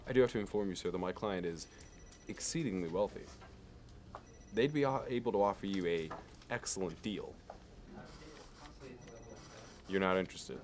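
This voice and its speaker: Low-voiced, polished Wall Street banker played by a man. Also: a little hiss or hum. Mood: persuasive, politely firm. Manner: polished, meticulous, salesman-like, condescending. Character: smooth, obsessive, status-minded male Wall Street banker. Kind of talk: ASMR roleplay monologue.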